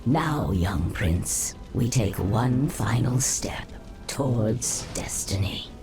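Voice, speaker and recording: An actor voicing a cunning, imperious serpentine creature in a fantasy game, with a theatrical, formal and portentous delivery. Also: male voice, medium pitch, animated, takes it slowly, music in the background. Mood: ominous, knowing. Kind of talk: fantasy video game voice acting.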